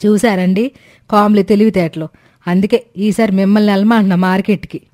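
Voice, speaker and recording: A woman voicing an exasperated, sarcastic older mother-in-law in an animated story, scolding with dry mockery. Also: mid-range voice, fairly quick, lightly acted. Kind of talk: Telugu animated moral story dialogue.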